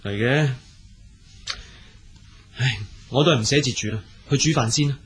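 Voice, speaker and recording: A man playing a polite, earnest poor young scholar in a Cantonese storytelling drama, speaking courteously with a husky voice. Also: mid-range voice, normal speed, a little hiss or hum, lightly acted. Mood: dismayed, meek.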